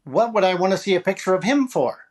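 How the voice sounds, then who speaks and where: A man's voice: high voice, expressive, quick pace. A man playing a vain, self-pitying comedian who wisecracks in a plaintive, wry way, in 1940s radio comedy style. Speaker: actor in a radio comedy recreation.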